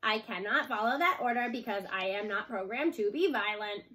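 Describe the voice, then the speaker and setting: A woman storyteller voices a female farm robot. She is calm and dutiful, and her words come out measured, formal and deliberate. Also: medium pitch, lightly acted. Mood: firm, composed.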